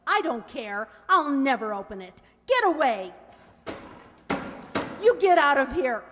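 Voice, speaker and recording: An actress playing a feisty, sharp-tongued young mother in an old-time radio comedy. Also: sits high, very animated, unhurried, background noise. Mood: indignant. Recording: reverberant hall, stage radio play.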